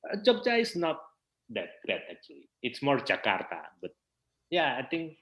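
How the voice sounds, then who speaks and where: A man with an Indonesian accent answering interview questions in a hesitant, halting way, searching for words. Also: mid-range voice, huge pitch swings, fairly bright tone, muffled recording. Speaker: interview guest, theater festival director.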